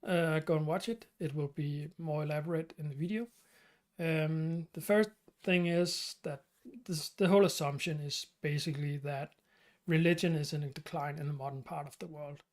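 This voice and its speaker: A man from Denmark with a Danish accent hosts his channel's live stream Q&A. He talks in a halting way, with many fillers and restarts. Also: on the high side, fairly even intonation, a little mellow. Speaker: channel host.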